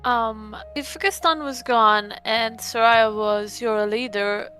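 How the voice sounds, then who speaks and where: A woman playing a sceptical vampire in tabletop roleplay, questioning in a deliberate, conversational way. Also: fairly high pitch, steady tone, sharp tone, soft background music. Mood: sceptical, probing.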